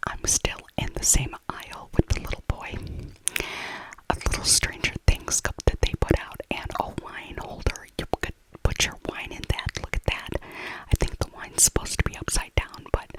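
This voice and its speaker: A woman speaking in a breathy whisper, narrating casually in ASMR style and softly repeating her phrases. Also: poor audio. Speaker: ASMR video creator narrating a shopping trip.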